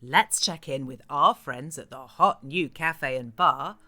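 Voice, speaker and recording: An actor playing a showy radio show host with a menacing edge, presenting theatrically in an audio drama. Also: male voice, a little high, unhurried, sharp tone, exaggerated voice. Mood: showy, upbeat.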